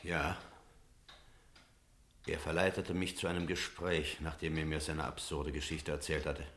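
Deep-voiced, stern village priest. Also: male voice, little pitch movement, a bit bright, heavily acted. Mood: grave, reflective. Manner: measured, rhetorical, literary diction. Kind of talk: German radio drama acting with narration.

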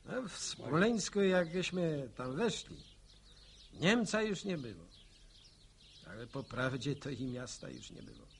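A plain-spoken country man in a radio serial. He is mid-pitched and takes his time, earnest and reflective with small hesitant repeats, over faint background noise. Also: big pitch swings, measured pace, gravelly. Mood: sombre recounting. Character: earnest Polish villager, war veteran recalling his army days. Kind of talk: radio drama dialogue.

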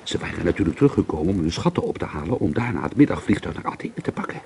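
A brooding man recounts his story in a radio drama. His voice is low and creaky, his delivery measured and dramatic, as if guarding a secret. The recording carries faint noise. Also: very animated, a little mellow, exaggerated voice. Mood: brooding, tense. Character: haunted male narrator, alcoholic, brooding and secretive. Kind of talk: radio drama acting with first-person narration.

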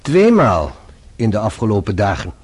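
An actor playing a shrewd French police inspector in a radio drama, formal, measured and politely probing. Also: male voice, fairly low pitch, wildly swooping pitch, a little mellow, slightly rough, heavily acted. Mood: dry, measured.

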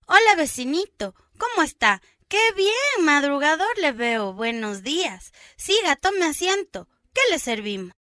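Woman acting a high, bossy Ecuadorian food-stall owner. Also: very animated, measured pace, few pauses, pushed and bright, no room sound, clean studio sound, heavily acted. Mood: welcoming, chatty. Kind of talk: amateur radio drama acting.

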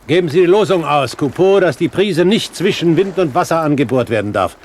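Cool, high-voiced older pirate captain. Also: male voice, expressive, a little fast, a little mellow. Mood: cool, commanding. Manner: clipped commands, formal and composed. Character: elderly gentleman pirate captain, cool, courteous, commanding great-uncle. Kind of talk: 1970s German radio play acting. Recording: 1970s radio drama with background noise.

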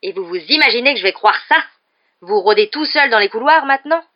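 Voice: mid-range voice, lively intonation, brisk, pitched above the performer's own voice, lightly acted. A snarling male school caretaker from a storybook, put on by a narrator. He is grumpy and suspicious, barking accusations, with a slightly muffled recording. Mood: angry, barking.

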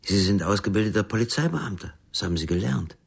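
An actor playing a cool, inscrutable doctor in a radio crime drama, speaking in curt, measured lines with a husky voice. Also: male voice, on the low side, lively intonation, full character voice. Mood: cool, firm.

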